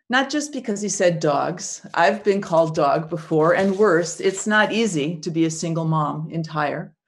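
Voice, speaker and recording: A woman acting a proud foreign single mother who is stung but defiant, speaking in an earnest, emotionally raw first-person monologue. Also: lowish voice. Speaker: actor in a biblical monologue.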